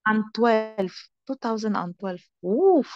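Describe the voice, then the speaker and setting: A woman's voice: a little high, expressive. Hesitant Cameroonian woman learning English. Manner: hesitant, halting, repeats corrections, drops into French. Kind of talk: practicing spoken English in a lesson. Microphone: video call. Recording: muffled video call audio.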